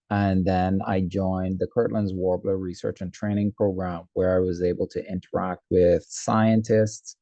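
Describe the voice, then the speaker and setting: A man with a Bahamian accent speaking as an expert guest in a radio interview, measured and formal. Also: low-pitched, vocal fry, mellow, no room sound, dull audio. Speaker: guest, marine biologist and sustainability coordinator. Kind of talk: radio interview, recounting career background.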